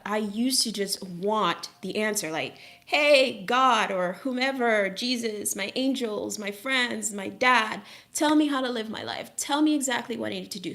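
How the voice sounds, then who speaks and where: A woman in her thirties, originally from Haiti, hosting a casual live chat and talking reflectively with many fillers and restarts. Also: mid-range voice. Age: 30s.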